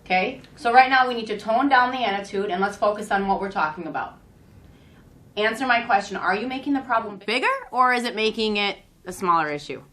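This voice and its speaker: A woman's voice: medium pitch, a little fast, fairly bright tone, lightly acted. A woman playing a firm school counselor who reasons through a student dispute and admonishes step by step, in an amateur role-play. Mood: admonishing. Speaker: school staff member. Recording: echoey room.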